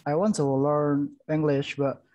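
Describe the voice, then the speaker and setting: A man's voice: a little high, flat delivery, measured pace, dark tone, highs cut off. A young man asking a question on a video call through a headset, hesitant and searching for words.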